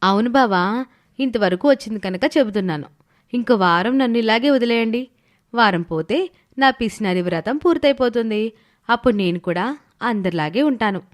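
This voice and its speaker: A woman's voice: fairly high pitch, expressive, moderate pace, natural voice. A woman playing a stubborn, thrifty young village wife who explains herself earnestly in a moral story cartoon. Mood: resolute. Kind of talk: animated moral story voice acting.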